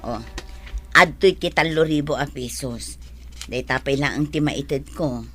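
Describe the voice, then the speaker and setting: Woman as Filipino village elder sister, radio drama: sensible, advising, lower register, faint background noise. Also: unhurried, sharp tone. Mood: generous. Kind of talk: radio comedy drama acting.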